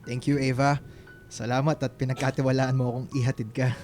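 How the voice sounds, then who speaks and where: A man playing a gentle, hesitant, troubled young man with a Filipino accent in a radio drama. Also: medium pitch, level tone, brisk, faint music behind, natural voice. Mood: grateful, gentle.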